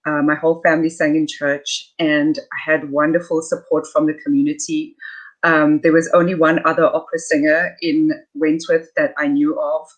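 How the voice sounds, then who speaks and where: South african lady chatting on a video call, kinda low voice, warm and gracious, lots of ums. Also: flat delivery, moderate pace, rough recording. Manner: warm, gracious, many fillers. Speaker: guest, soprano. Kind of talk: casual conversational interview.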